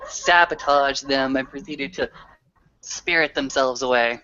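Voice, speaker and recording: Bright-voiced man narrating a tabletop game. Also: medium pitch, unhurried. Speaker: tabletop game storyteller or player. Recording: dull lo-fi online call audio.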